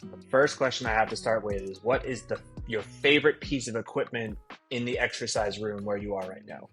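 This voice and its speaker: A man hosting an interview podcast, asking questions and reacting with quick enthusiasm. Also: fairly low pitch, fairly bright tone, soft background music. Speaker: podcast host.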